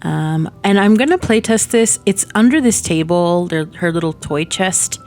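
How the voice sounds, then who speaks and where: A woman's voice: on the low side, lively intonation, moderate pace. A woman with a slightly stuffy nose chatting casually while building in a life-sim game, rambling with many fillers and little laughs at herself. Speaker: Sims building channel host. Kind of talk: casual chatty gameplay commentary. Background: soft background music.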